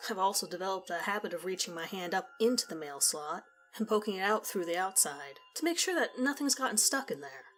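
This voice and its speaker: Woman reading a first person story, conversational n measured, medium pitch, some music behind. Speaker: horror story narrator. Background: background music.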